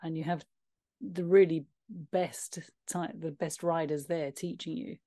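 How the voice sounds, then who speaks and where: A woman's voice: low voice, steady tone, a little slow, warm tone, no room sound, studio quality. A woman hosting a casual interview, friendly and encouraging, asking questions. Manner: friendly, encouraging, asks questions with short laughs. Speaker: podcast host, interviewer.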